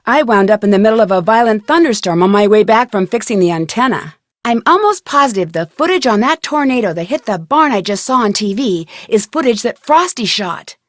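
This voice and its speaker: A woman's voice: on the high side, dry recording, clean studio sound, natural voice. A woman voicing a young female amateur detective in an adventure video game, polite, earnest and curious. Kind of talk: scripted adventure game dialogue.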